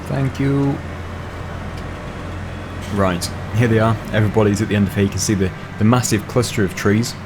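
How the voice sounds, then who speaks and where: A young man, slightly hoarse, chatting casually through a game while thinking aloud with fillers. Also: lowish voice, moderate pace, pauses often, background noise. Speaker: gaming channel host. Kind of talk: casual gameplay commentary.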